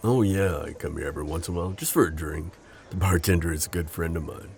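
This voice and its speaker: A man's voice: low-pitched, fast, gravelly, a little mellow, slight background noise. A man playing a sardonic, protective civilian coworker with something to hide, in an audio roleplay. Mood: awkward, flustered. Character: sardonic, protective male civilian coworker hiding a secret identity.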